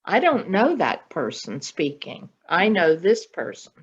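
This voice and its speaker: A woman chatting and telling personal stories in a slow, deliberate way over a video call. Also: lowish voice, big pitch swings, husky. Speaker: podcast guest. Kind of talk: casual conversational storytelling. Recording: dull, lo-fi video call audio.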